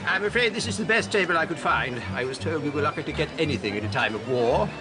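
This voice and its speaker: An actor playing a pompous, brisk, self-important British official with an upper-class English accent and breezily dismissive manner in a radio drama. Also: male voice, on the high side, animated, natural voice. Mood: urbane, welcoming. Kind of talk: full-cast radio drama acting. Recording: music and crowd behind dialogue.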